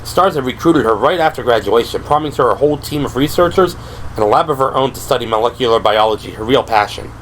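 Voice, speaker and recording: A man's voice: mid-range voice, expressive, fast talker, a bit bright, a little hiss or hum, low-quality recording. A man reading scripted third-person narration for an audio drama. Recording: music behind the voice.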